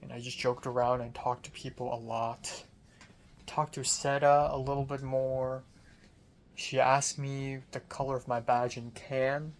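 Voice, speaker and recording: A man's voice: medium pitch, level tone, measured pace, a little hiss or hum. Young guy rambling thru a personal vlog diary, chatty and informal, bit of swearing. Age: young adult. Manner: informal, mildly profane, conversational. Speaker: film student vlogger.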